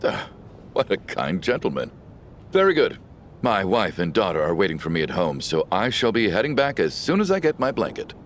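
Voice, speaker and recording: A man's voice: sits low, very animated, moderate pace, slight background noise. A pompous middle-aged businessman. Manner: pompous, self-important. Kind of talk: game cutscene voice acting.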